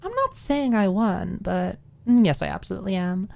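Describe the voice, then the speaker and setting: Woman as a female college student, prim, expressive, higher pitch, articulate, audio drama character. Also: normal speed, gravelly. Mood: playfully smug.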